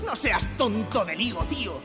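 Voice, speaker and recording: Cheeky upbeat joker, very high voice, comic radio musical, loud music. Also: male voice, animated, normal speed. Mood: cheeky, cheering.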